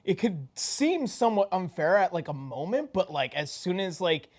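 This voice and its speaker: A woman's voice: lowish voice, big pitch swings, normal speed, a little mellow. A woman, one of the players, talking casually after a tabletop game. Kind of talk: casual post-game chat.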